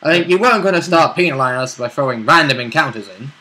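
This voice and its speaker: A man's voice: medium pitch, lively intonation, fast, bright, forceful tone. A man chatting casually as a tabletop game player, bantering and interjecting. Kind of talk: casual chat.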